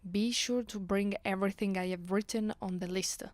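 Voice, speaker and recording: A woman's voice: mid-range voice, fairly even intonation, moderate pace, dry recording, lightly acted. A woman with an Italian accent playing a commanding, teasing vampire noblewoman in an ASMR roleplay, imperious and playful, often slipping toward a whisper. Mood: teasing, sultry. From Italy. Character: commanding, teasing, seductive aristocratic female vampire. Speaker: voice actress.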